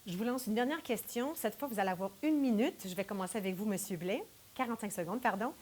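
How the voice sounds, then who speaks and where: Brisk woman moderating a debate. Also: medium pitch, a little mellow, a little hiss or hum. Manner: brisk, businesslike. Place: TV studio.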